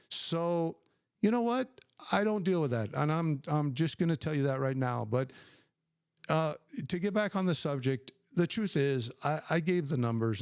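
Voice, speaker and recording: A man's voice: a little high, a little mellow, no room sound, clean studio sound. Emphatic older man hosting a live Q&A. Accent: New Mexican Spanish when speaking Spanish. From New Mexico, USA. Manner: emphatic, rambling, many fillers and restarts. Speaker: livestream host. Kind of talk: casual live Q&A stream.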